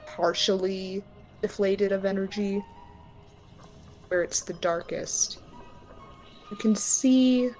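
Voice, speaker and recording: Slow-paced woman game master over music. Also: mid-range voice, darkish tone, rough recording. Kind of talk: narrating a tabletop game. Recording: music under the voice.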